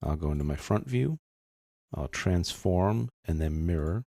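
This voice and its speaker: A man narrating a software tutorial step by step, explaining in a casual, informal manner. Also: deep voice, expressive, unhurried, gravelly, no echo, clean studio sound.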